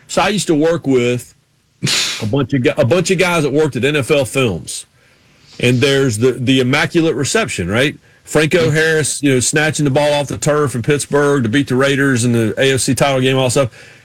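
A man's voice: medium pitch, normal speed, dry recording. A man co-hosting a sports radio show, chatting casually and telling anecdotes in a self-deprecating way.

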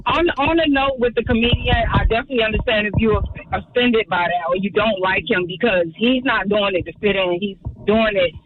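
A woman's voice: medium pitch, brisk, hardly any pauses, narrow, tinny audio, noisy background. A woman calling in to a radio talk show, chatting casually and laughing often as she rambles on. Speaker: caller. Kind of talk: casual call-in chat.

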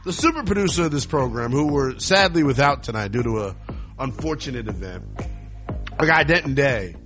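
A man's voice: medium pitch, brisk, faint music behind. A man hosting a sports talk radio show from a studio, joking and boasting in playful banter. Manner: jokey, boastful, animated.

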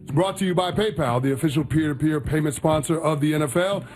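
A man's voice: a little high, quick pace, a little mellow, faint music behind. A man co-hosting a casual sports talk show, emphatic as he gives a betting pick.

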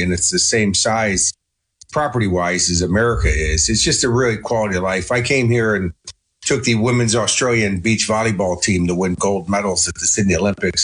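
A man's voice: fairly low pitch, fairly bright tone, breathy edge. A middle-aged man with a Chicago accent, a talkative, self-assured guest answering interview questions.

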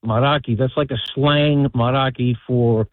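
A male caller speaks over a thin, tinny phone line. He talks in a halting way, often stopping to restart. The sound is dry and squeezed. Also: mid-range voice, fairly even intonation, no echo. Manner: halting, repeats words while explaining. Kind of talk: phone-in conversation.

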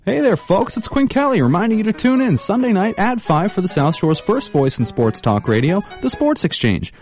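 A man's voice: sits high, moderate pace, soft background music. A man giving an upbeat, folksy promo for his sports radio show. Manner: lively, folksy.

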